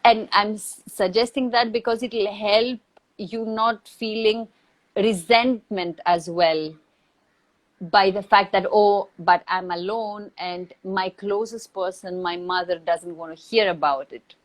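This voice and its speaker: A woman who is not a native English speaker, giving reflective advice in a thoughtful, measured way with deliberate pauses. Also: medium pitch, steady tone, low-quality recording. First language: not English or Hindi. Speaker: acting teacher, interview guest. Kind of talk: reflective advice in a live online Q&A.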